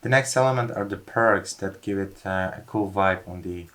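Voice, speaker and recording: Low-voiced man explaining a music track. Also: moderate pace, fairly bright tone. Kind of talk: casual studio walkthrough.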